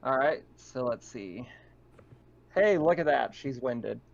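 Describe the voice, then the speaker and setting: A man's voice: mid-range voice, expressive, slow, creaky, dull audio, over a noisy crowd. A man casually narrating a tabletop roleplaying game as the game master.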